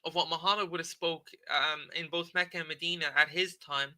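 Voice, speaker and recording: Bright-voiced man lecturing with "okay?". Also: a little high, steady tone, fairly quick. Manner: conversational, frequent "okay?" checks and filler "um". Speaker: livestream debate host. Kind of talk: casual lecture reading and commenting on a text.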